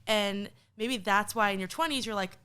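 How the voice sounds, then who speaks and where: A woman in her late twenties co-hosting a podcast, chatting frankly and thoughtfully, quick to laugh. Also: medium pitch, sharp tone, smooth. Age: 20s. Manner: frank, reflective, laughs easily. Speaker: podcast co-host. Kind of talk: casual chat.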